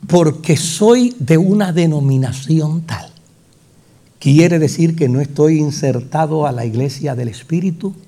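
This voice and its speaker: A male preacher with a Puerto Rican accent delivers his sermon at an unhurried, weighty pace. His voice sits somewhat high, and he drives his points with repeated phrases and pointed rhetorical questions that rise to fervent peaks. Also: dark tone. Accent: Puerto Rican Spanish. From Puerto Rico.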